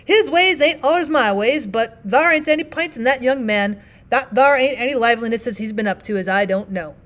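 A woman voicing a rough, earnest middle-aged frontier miner with a rustic Old West drawl, rambling in folksy dialect. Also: on the high side, brisk, fairly bright tone, natural voice. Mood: earnest pleading. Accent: put-on rustic Old West drawl.

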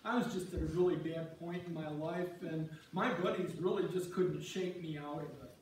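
Actor as a high-voiced rambling husband, echoey hall. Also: male voice, steady tone, normal speed, a little mellow, close to an everyday voice. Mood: downcast, hesitant. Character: warm rambling husband Wally, reminiscing about meeting his wife. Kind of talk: amateur reader's theater performance. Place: on stage in a church, far camera. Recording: echoey church hall, distant mic, noisy.

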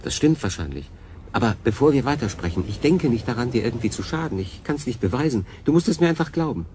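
A conflicted male castaway in a science-fiction audio drama, played by a man. He speaks at a middling pitch, earnest and thoughtful, sometimes halting. Clear background noise surrounds the voice. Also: very animated, a little mellow, close to an everyday voice. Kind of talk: German radio drama acting.

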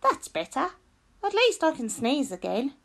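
Little old lady, played by a woman, storybook, very high-pitched, flustered, theatrical pleading, wildly swooping. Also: huge pitch swings, unhurried, gravelly, exaggerated voice. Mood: relieved.

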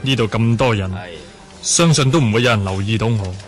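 A man's voice: lowish voice, a little fast, faint music behind, natural voice. An actor playing a determined young man in secretive monologue in a Hong Kong Cantonese radio drama. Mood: furtive, determined. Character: furtive, determined young man seeking his parents. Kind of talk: 1980 radio drama acting.

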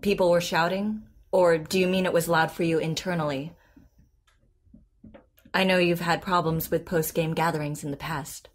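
An actress playing a patient, professional therapist in an audio drama, asking questions in a measured, careful way. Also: female voice, on the low side, fairly even intonation, close to an everyday voice. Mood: composed, pleasant. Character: composed, patient woman therapist, probing and professional. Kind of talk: naturalistic audio drama dialogue.

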